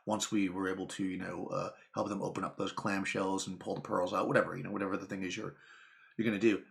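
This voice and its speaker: A man talks casually to his viewers, wandering through asides and restarts. His voice sits on the low side and has a steady gravelly fry. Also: fast talker. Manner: rambling, chatty, lots of fillers and asides. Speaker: channel host. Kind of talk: casual talk to camera.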